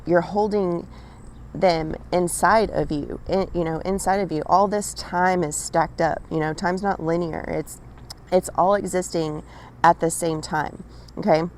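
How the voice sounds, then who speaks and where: Raspy, lower-pitched woman channeler, chatty direct-address reading, faint hiss behind. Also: steady tone, measured pace, darkish tone. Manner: addresses listener directly, frequent "right?" and "okay?". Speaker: spiritual channeler. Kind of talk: casual spiritual monologue.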